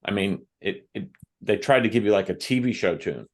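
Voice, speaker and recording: A man on a podcast as a guest author and firearms instructor, telling stories from his classes in a thoughtful, measured way. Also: fairly low pitch, animated.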